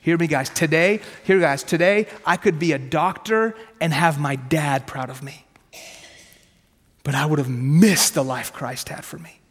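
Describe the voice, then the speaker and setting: Man, 30s, Farsi first language: brisk, chatty preacher, voice a touch high for a man, long hall echo. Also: breathy edge. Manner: conversational and punchy, asks questions, jokes at himself. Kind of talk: sermon with personal testimony.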